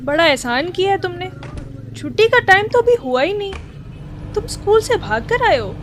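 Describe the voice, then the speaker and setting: A woman's voice: very high-pitched, big pitch swings, moderate pace. An actress playing a fed-up, fretful housewife mother with a nagging manner in an Urdu radio drama. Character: fed-up, worrying housewife mother of a naughty schoolboy. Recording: faint background noise.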